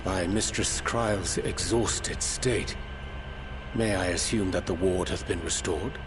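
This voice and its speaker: An actor playing a grave, gracious man in a video game, speaking in formal, measured, archaic English. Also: sits low. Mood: courteous, grave. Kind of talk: scripted video game voice acting. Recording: loud music behind the voice.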